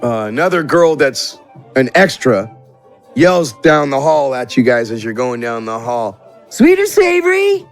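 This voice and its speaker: A man's voice: medium pitch, unhurried, faint music behind. A man running a tabletop roleplaying game as game master, improvising narration in an informal, conversational way.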